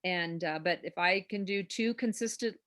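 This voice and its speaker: A woman's voice: fairly low pitch, normal speed, a bit bright. A woman hosting a real estate class on a video call, coaching in a chatty, encouraging way that rambles with fillers. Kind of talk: informal coaching talk.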